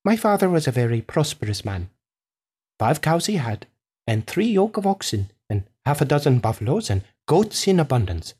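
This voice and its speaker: A woman voicing a sly male farmer who spins a storybook tall tale in an unhurried, emphatic, rustic way. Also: deep voice, very animated, warm tone, husky, exaggerated voice. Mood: boastful, unhurried.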